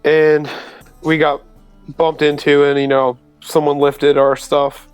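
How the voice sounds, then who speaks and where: A man's voice: on the high side, little pitch movement, slow pace, smooth, dry recording, faint music behind. A man playing a tabletop roleplaying game, talking casually and a bit tentatively. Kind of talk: casual in-character roleplay chat.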